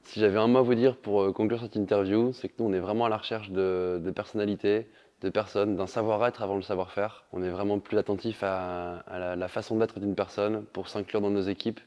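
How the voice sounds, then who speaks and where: A man's voice: fairly low pitch, steady tone, brisk, darkish tone. A man gives an interview as a sales manager. He explains things step by step, repeating small words while he looks for the next phrase. The sound is somewhat dull, lacking top end. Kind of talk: recruitment interview testimonial.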